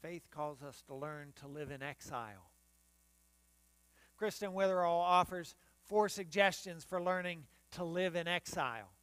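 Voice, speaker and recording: An older man delivers a sermon from the front of a church, his voice pitched fairly high for a man. He talks on a close mic with a dry, clean sound, and he often restarts his phrases. A faint mains hum sits underneath. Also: measured pace, a bit bright, clean tone, dry recording. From United States. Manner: preaching while standing and gesturing, with frequent restarts. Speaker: pastor. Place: church sanctuary in front of the altar.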